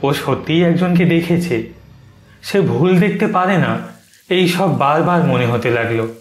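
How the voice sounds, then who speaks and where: A man's voice: a little high, expressive, unhurried. A man narrating a scripted horror story in a dramatic, suspenseful way. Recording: roomy echo. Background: soft music.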